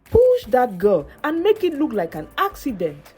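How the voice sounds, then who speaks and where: A woman's voice: high voice, wildly swooping pitch, normal speed, a little mellow, husky, faint music behind, pitched above the performer's own voice, exaggerated voice. A woman voicing a manipulative older schoolgirl who plays mother, honeyed and commanding, in a narrated folktale. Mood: scheming, commanding. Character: manipulative, sweet-talking senior schoolgirl acting as mother.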